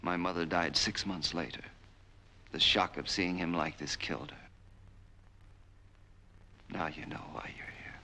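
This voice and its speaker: A man's voice: low voice, fairly even intonation, slow, vocal fry, long pauses, sharp tone, heavily acted. An actor playing a cold, commanding American man bent on revenge in a 1940s film noir. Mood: bitter, intense. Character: vengeful, menacing man hunting his father's betrayer. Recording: noisy 1940s film soundtrack.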